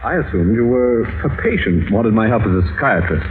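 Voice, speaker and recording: An actor playing a brisk, sceptical psychiatrist, dry and businesslike, in 1940s radio drama style. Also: male voice, on the low side, animated, background noise, lightly acted. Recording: tinny 1940s radio recording, faint music.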